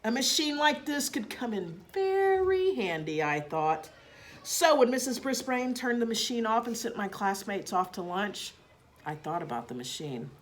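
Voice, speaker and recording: Lively woman reading a children's story. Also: on the high side, measured pace. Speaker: teacher reading a children's book aloud. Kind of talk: expressive storybook narration for children.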